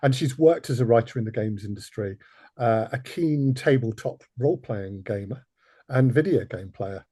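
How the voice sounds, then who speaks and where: Mellow, slightly husky male podcast host. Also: mid-range voice, normal speed, no echo, clean studio sound. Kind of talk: hosted panel interview.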